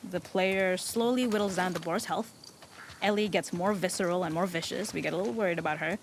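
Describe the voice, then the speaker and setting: A woman's voice: on the low side, fairly quick. Woman giving developer commentary, some background noise. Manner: explanatory. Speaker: game level designer.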